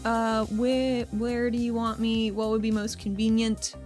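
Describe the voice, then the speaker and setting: A woman plays a prickly, sardonic female character in a tabletop roleplaying game. She speaks bluntly and informally in a fairly high voice. Light music plays behind her. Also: flat delivery, a little slow, darkish tone, natural voice. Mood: nervous. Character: irritable, foul-mouthed, nervy woman named Athena.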